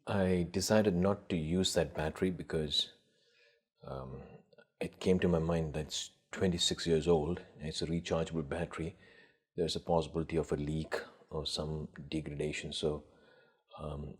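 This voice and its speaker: A man with a husky voice giving casual, tentative unboxing commentary, thinking aloud as host. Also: deep voice, darkish tone. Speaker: gadget collector and video host.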